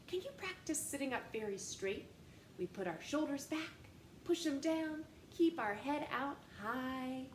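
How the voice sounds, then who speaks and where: A woman in her thirties reading a children's picture book aloud in a living room, with an animated, sing-song manner that speaks straight to young listeners. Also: high-pitched, roomy sound, slight background noise. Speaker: storytime reader. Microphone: camera in front at close range.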